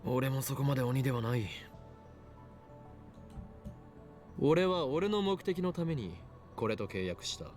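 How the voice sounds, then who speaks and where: Man acting a pompous, quick-talking young mad scientist. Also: mid-range voice, sing-song, darkish tone, close to an everyday voice. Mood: grudging, conceding. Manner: theatrical, pompous, declamatory. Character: grandiose young male self-styled mad scientist. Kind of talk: Japanese anime visual novel acting. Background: game music.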